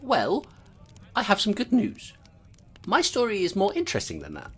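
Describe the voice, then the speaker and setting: An actor playing a nervous male rat constable in an audio drama, speaking in a rambling, halting way. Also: high voice, wildly swooping pitch, fairly bright tone, slightly rough, full character voice.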